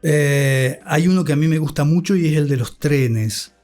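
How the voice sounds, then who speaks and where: An older man with an Argentine accent giving a reflective interview, articulate, with thoughtful halting pauses. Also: on the high side, a little mellow, faint music behind. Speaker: interview guest, radio academic.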